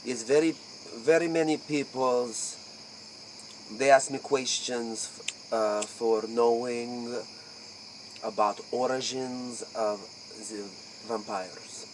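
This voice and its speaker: A man playing an aloof, ancient aristocratic vampire count in a spoof interview, with an Eastern European accent and halting, pompous broken English. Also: medium pitch, steady tone, slight background noise, lightly acted, low-quality recording. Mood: solemn. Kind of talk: improvised spoof interview in character.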